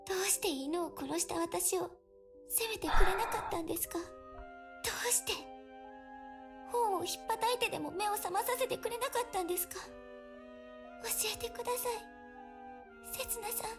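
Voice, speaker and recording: A woman's voice: very high voice, racing, long pauses. An actress playing an anguished young woman in a visual novel, pleading and questioning. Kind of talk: Japanese visual novel voice acting. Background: background music.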